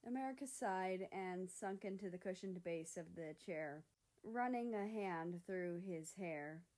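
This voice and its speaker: A woman's voice: on the low side, unhurried, darkish tone. A woman reading scripted fiction aloud as a story narrator. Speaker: fan fiction reader.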